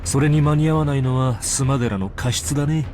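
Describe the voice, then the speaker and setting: A man's voice: mid-range voice, racing, a little mellow, soft background music, lightly acted. An actor playing a glib, shrewd businessman in a visual novel, casual and unflappable as he talks. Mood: smug, amused. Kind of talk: Japanese visual novel voice acting.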